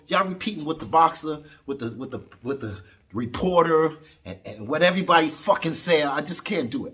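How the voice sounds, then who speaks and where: A man in his late forties from New York talks boxing in a basement gym. His voice sits a bit high for a man. He is loud and boastful, swears constantly and talks in streetwise slang. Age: 40s. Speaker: boxing vlogger. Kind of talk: casual profane vlog rant.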